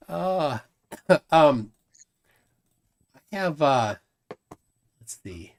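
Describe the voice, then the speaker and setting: A man's voice: fairly high pitch, sing-song, drawn-out words. Chatty man hosting a livestream. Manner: chatty, reads chat comments aloud, laughs often. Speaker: livestream host. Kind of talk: casual livestream chat reading viewer comments.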